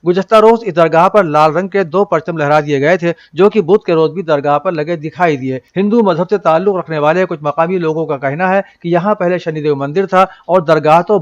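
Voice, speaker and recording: A man reading a scripted news bulletin in a formal, measured manner. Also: a little high, no room sound, highs cut off.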